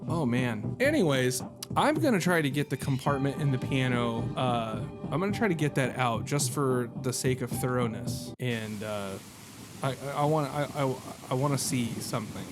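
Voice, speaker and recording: A man's voice: mid-range voice, expressive, normal speed. Rambling man doing game commentary over music. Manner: rambling, thinking aloud, self-deprecating joking. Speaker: video game streamer. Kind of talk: casual let's-play commentary. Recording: music behind the voice.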